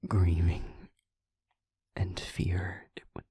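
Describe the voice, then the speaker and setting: A worn-out, gentle guardian speaking in a slow, breathy whisper, as a man plays him. His voice sits very deep and close, dry and intimate. He stays calm and steady. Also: dry recording, full character voice. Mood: tired. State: exhausted. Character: weary, gentle male protector, calm. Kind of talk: audio roleplay acting.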